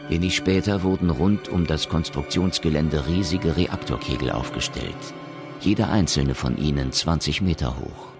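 A man narrating a science fiction radio drama in a measured, matter-of-fact way. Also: rumbling low. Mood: grave.

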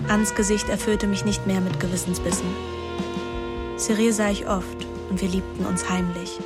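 An actress plays a young woman in a radio play, restless yet composed and detached. She tells her own story reflectively, in a mid-pitched voice. Music runs clearly behind her. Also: flat delivery, normal speed, natural voice. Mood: wistful. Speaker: lead actress and first-person narrator. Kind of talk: radio drama narration and dialogue.

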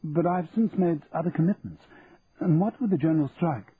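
A man's voice: fairly high pitch, brisk, voice pushed up, close to an everyday voice. A man voicing a pompous, easily affronted middle-aged male auctioneer in a stiff, formal manner, for an audiobook. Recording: tinny phone-like sound with faint hiss.

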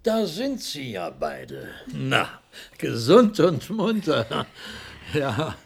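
An actor playing a fussy, protective boss in a radio play, with a gravelly voice and theatrical concern. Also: male voice, on the high side, wildly swooping pitch, extremely slow, heavily acted. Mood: concerned, reproachful. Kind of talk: German radio play acting.

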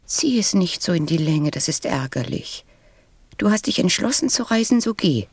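A woman playing a proud yet tender flower in a radio play, speaking softly and wistfully. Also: fairly low pitch, big pitch swings, moderate pace, no echo, exaggerated voice. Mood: proud impatience. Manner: soft, breathy, wistful. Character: proud, tender female flower, vain but brave.

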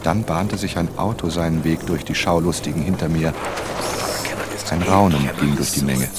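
Earnest writer-narrator for radio drama, deep register, sober and measured. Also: male voice, heavy background noise. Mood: sober, tense. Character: earnest young male novelist narrating his story. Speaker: first-person narrator.

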